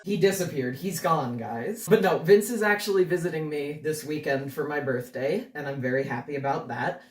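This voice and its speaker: A woman's voice: low-pitched, moderate pace, darkish tone, recorded from a distance. A woman hosting a casual, chatty on-camera interview with a guest. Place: indoor room, sharing the frame with guest. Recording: roomy, off-mic, music underneath. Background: guitar music.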